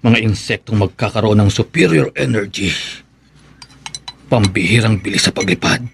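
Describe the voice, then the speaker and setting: A mad scientist who breeds killer insects boasts in a gravelly, grandiose voice. His delivery is gloating and self-satisfied in this radio drama. A faint hiss sits under the recording. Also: lowish voice, moderate pace.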